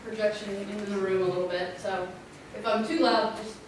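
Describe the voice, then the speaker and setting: A woman with a loud, clear voice presenting a water infrastructure plan at a public community meeting. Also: medium pitch, steady tone, fairly quick. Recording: echoey room, distant mic, background noise.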